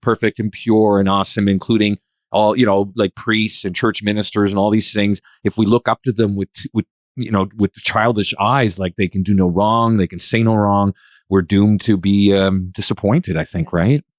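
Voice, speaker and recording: A man speaking in a casual, conversational podcast discussion, with a halting, rambling way of working through his thoughts. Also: fairly low pitch, steady tone, dry recording, studio quality. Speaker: podcast participant.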